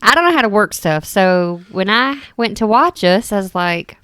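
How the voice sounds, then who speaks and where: A woman from the Southern United States co-hosting a podcast in casual chat, self-deprecating and quick to laugh. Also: mid-range voice, animated, bright, forceful tone, clean tone, no echo. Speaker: podcast co-host.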